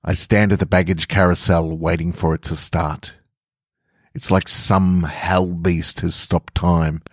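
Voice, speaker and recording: Deep-voiced man, dry close recording. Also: flat delivery, measured pace, no room sound. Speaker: podcast host reading own short fiction. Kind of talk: casual intro and narrated short stories.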